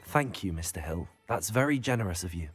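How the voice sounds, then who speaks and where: Polite young hero, music behind. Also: male voice, low-pitched, expressive, fairly quick. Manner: polite, understated. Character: polite, modest teenage student wizard hero. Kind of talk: video game character acting.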